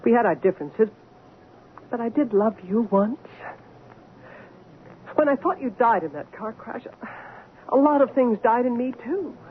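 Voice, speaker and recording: A woman's voice: a little high, lively intonation, unhurried, pauses often. An actress playing an anguished but firm woman doctor confronting her returned husband, earnest and emotional, in an old-time radio drama. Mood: hurt pleading. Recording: narrow, noisy old radio recording.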